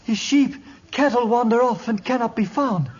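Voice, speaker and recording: A man's voice: extremely high pitch, moderate pace, darkish tone, slight background noise. An actor playing a troubled, lovesick young native herdsman in broken English, in 1950s radio drama style on an old dull recording. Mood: distressed. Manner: speaks broken English, dropping small words.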